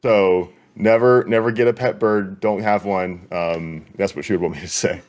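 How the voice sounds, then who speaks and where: A man's voice: low-pitched, fairly even intonation, moderate pace, a little mellow. A young man talking casually as a guest, easygoing and chatty. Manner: easygoing, chatty with light laughs. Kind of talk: casual chat.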